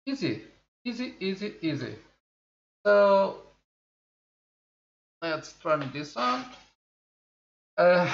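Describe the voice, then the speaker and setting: A man's voice: high voice, fairly bright tone. A man in his forties, a native Portuguese speaker, hosting a tech review channel and unboxing a product at a studio desk in a casual, thinking-aloud way. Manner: slow, chatty, thinking aloud with many fillers. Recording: echoey room, slightly dull audio.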